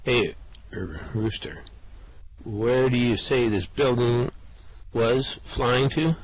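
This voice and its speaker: A man's voice: mid-range voice, slow. A man voicing a laid-back, slightly dim male space adventurer who drawls in a slack, easygoing way, in an amateur radio play reading.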